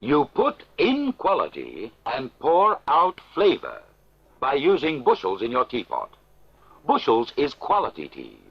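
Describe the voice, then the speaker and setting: Male commercial announcer, emphatic and very animated, slightly rough voice, dull vintage radio recording. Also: medium pitch. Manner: emphatic sales delivery. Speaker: advertisement announcer.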